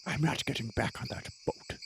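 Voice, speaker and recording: A woman voicing a gruff, simple male warrior with blunt, solemn declarations and a gravelly, husky voice in a tabletop game. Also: fairly quick, slight background noise, lightly acted. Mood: sulky, blunt.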